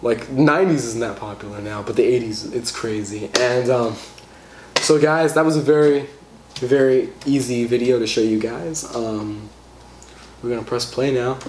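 A man's voice: mid-range voice, moderate pace. Rambling young guy in an echoey room. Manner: rambling, chatty, many fillers and restarts. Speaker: vlogger. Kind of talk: casual tutorial vlog. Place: small room indoors at a table. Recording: echoey room with faint hiss.

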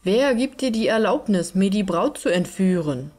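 A proud young fairytale huntsman speaks in formal, declamatory sentences, voiced by a woman narrator. The tone is slightly husky and moves through a lively range of pitch. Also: moderate pace. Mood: indignant. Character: wronged young huntsman bridegroom, proud, stern yet forgiving.

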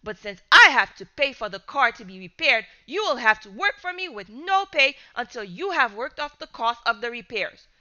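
A woman voicing an angry middle-aged father who shouts and scolds in a storybook reading. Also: very high-pitched, lively intonation, normal speed, few pauses, muffled recording, pitched above the performer's own voice, heavily acted.

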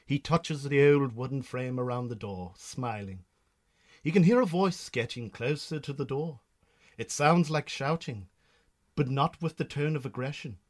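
A man's voice: mid-range voice, animated, unhurried. A man with a Northern Irish accent narrating a scripted radio drama as a storyteller.

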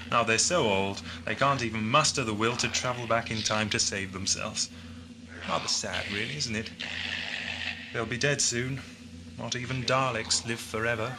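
A man's voice: mid-range voice, lightly acted. A man playing a genial, absent-minded time-travelling Doctor in a sci-fi audio drama, musing and hesitant. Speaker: actor. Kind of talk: 1980s amateur sci-fi audio drama acting. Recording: noisy old cassette audio drama.

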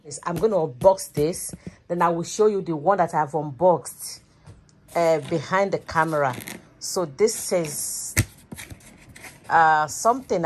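A woman's voice: fairly low pitch, slight background noise. A woman of fifty chatting casually to her phone camera as a vlogger, thinking aloud and laughing easily. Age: 50s. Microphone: phone at arm's length.

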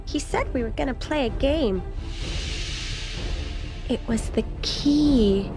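A woman's voice: sits high, slow pace. A woman playing a timid, childlike, guilt-ridden young woman locked in a doll mask, narrating a video game cutscene. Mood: wistful. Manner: girlish, self-blaming. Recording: loud music behind.

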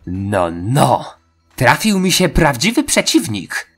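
A man's voice: mid-range voice, wildly swooping pitch, slow, fairly bright tone, husky, soft background music, exaggerated voice. A man voicing a cocky, sadistic teenage boy killer in an audio horror story. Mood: mocking. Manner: taunting, cocky.

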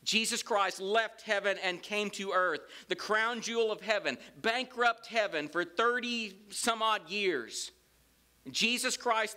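A middle-aged man with a Southern accent, preaching a sermon in a church with folksy asides. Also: high-pitched. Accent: Southern American. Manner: animated, gestures widely while preaching; folksy asides between scripture quotes. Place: large church sanctuary with empty pews. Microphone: lectern mic, roams away at times.

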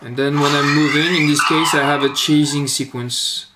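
A man giving an informal demonstration of his electronics project, speaking in a hesitant, halting way. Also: a little high, steady tone. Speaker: electronics hobbyist presenting own board. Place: indoors at a workbench. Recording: noisy webcam-style audio with clicks.

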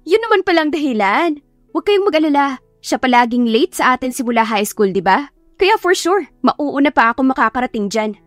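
Woman acting excitable, earnest young woman, high-pitched. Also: brisk, dry recording, faint music behind. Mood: playful confidence. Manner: exclamatory, earnest. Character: young woman driver, kind-hearted, excitable, apologetic.

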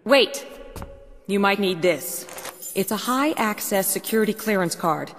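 An actress playing an anxious, earnest asylum doctor in a video game cutscene. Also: female voice, mid-range voice, animated, unhurried, soft background music. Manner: nervous, earnest. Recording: long stone-tunnel reverb, faint noise.

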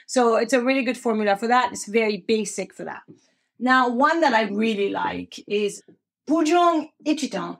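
A middle-aged woman with a French accent, speaking in a slightly high voice. She teaches in a relaxed, conversational way and keeps checking in with her listeners as she explains. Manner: friendly, explains simply, asks listeners "make sense?". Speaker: podcast host and acupuncture teacher. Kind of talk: casual teaching monologue.

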